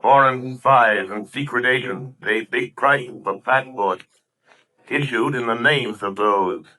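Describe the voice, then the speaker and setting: An actor playing a mysterious, commanding crime-fighting avenger in an intense, emphatic 1930s radio drama style. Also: male voice, mid-range voice, takes it slowly, pushed and bright, rough recording. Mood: solemn. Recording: vintage 1930s radio broadcast.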